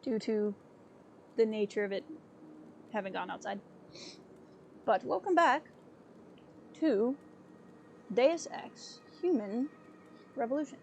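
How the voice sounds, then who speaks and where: A woman streaming a video game, chatty and a little sniffly, thinking out loud with fillers as she plays. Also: a little high, slow pace, vocal fry, pauses often, slight background noise. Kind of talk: casual gameplay commentary. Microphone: desk mic with webcam.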